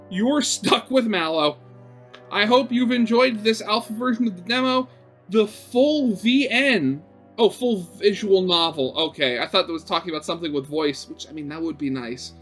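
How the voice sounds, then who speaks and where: A man's voice: high-pitched, moderate pace, a bit bright, faint music behind. A man reading a visual novel aloud as a gaming video host, with casual, rambling commentary.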